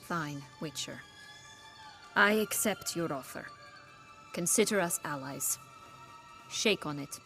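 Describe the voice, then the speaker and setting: A woman playing a proud, cool exiled noblewoman in a fantasy game, sardonic and commanding, with measured menace. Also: sits low, expressive, pushed and bright, faint music behind, exaggerated voice. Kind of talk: dramatic fantasy game acting.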